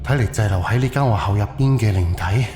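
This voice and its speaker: A man's voice: fairly low pitch, quick pace, a little mellow, soft background music, natural voice. Man acting an earnest, flustered amateur investigator. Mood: pondering. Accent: Cantonese. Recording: faint background noise.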